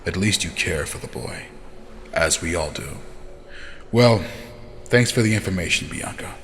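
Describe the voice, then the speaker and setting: A man playing a composed, reassuring elder in an audio drama, with a measured and authoritative way of speaking. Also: sits low, animated. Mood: probing, calm. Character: composed, reassuring male elder of a hive-mind family. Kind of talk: scripted fan audio drama acting. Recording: reverberant hall, faint noise.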